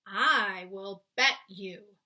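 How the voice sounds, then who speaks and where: Storybook giant played by a woman: friendly, excitable, blustering, forceful and unhurried, folksy muddled grammar. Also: mid-range voice, full character voice. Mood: agitated. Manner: garbled grammar, invented words, emphatic. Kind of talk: children's storybook read-aloud.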